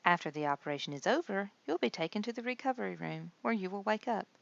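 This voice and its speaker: A woman's voice: mid-range voice, expressive, fast, vocal fry, lo-fi sound, voice pushed up. A woman voicing a kindly nurse in a children's storybook, patiently explaining things and reassuring young listeners.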